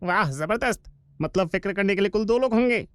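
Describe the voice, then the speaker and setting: A woman voicing a timid, sceptical young male sidekick in an animated comedy, hesitant, anxious and sarcastic. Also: medium pitch, brisk, close to an everyday voice. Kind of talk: animated comedy dub acting.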